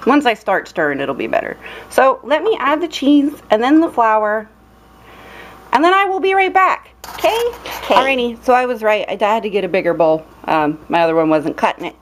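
A chatty young woman walks through a recipe at home, filling gaps with ums and little cheers. Her voice sits a bit high and leaps around a lot. A faint hiss runs under the recording. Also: expressive, normal speed. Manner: bubbly, chatty, lots of ums and playful exclamations. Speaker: cooking vlogger. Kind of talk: casual cooking demonstration. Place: dim home kitchen. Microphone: camera held close, filming her hands.

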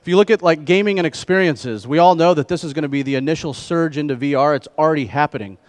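A middle-aged man presenting a conference keynote on stage through a headset mic, speaking in measured phrases. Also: a little high. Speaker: keynote speaker, trade association president.

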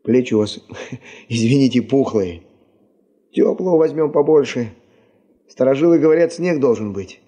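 A solicitous expedition aide in a seventies Soviet radio drama. His voice is very mellow and husky, of medium height, fussy and deferential. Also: mid-range voice, animated, normal speed, long pauses. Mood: eager, deferential. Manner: solicitous, practical. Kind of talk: 1970s Soviet radio play acting.

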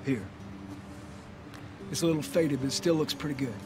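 An actor playing an earnest, plainspoken middle-aged Texan survivor leading a settlement in a video game, in a higher male voice. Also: fairly even intonation, normal speed, music in the background, close to an everyday voice. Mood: subdued. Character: earnest younger brother leading a survivor settlement, rugged and hopeful. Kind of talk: video game cutscene acting.

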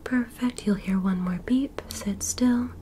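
Casting: woman as gentle female alien medic, breathy whisper, slow soothing exam delivery, ASMR sci-fi roleplay, audible background noise. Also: a little high. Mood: soothing, clinical. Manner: soft, slow, soothing reassurance with clinical instructions. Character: gentle, curious female alien doctor examining an earthling. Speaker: ASMR performer.

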